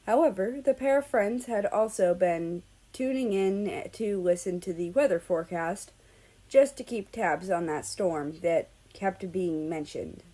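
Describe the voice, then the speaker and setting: A woman's voice: mid-range voice, a little slow, mellow, rough recording. A woman narrating a story expressively from a script as a reader of fan fiction.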